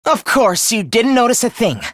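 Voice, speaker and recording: Young male game villain, gloating schemer, contemptuous, theatrical, highly animated pitch, dry sound. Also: moderate pace, dry recording, heavily acted. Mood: smug, coldly confessing. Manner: theatrical, contemptuous, swinging from hushed menace to shrieking. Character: young male traitor, genocidal, gloating, human-hating schemer. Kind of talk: video game voice acting, villain confession monologue.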